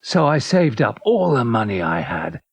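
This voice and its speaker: A man's voice: mid-range voice, big pitch swings, normal speed, vocal fry, breathy edge, no room sound, full character voice. An actor playing a nostalgic old man who narrates memories of his great love in an audio drama, wistful and reflective. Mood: fond reminiscing. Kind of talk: reminiscing first-person audio drama narration.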